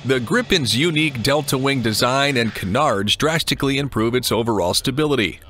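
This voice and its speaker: A man reading a scripted documentary narration in an even, measured way. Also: mid-range voice, background noise. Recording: voice-over with clear music behind.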